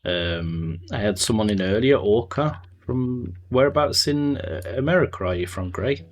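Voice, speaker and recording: Deep-voiced man chatting casually. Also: lively intonation, normal speed. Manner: thinks aloud, trailing off and answering chat. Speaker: streamer. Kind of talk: casual live-stream chat while playing.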